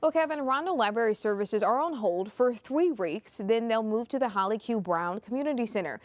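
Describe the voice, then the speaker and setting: A woman's voice: on the high side, animated, fairly quick. An American woman delivering a scripted television news report as a reporter.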